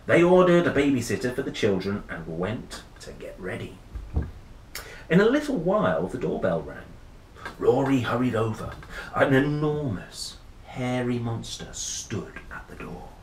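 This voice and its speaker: A man's voice: medium pitch, big pitch swings, unhurried. A man reading a children's story aloud in a playful, theatrical way, with casual musing asides. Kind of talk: expressive picture-book read-aloud with casual asides. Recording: roomy echo, faint noise.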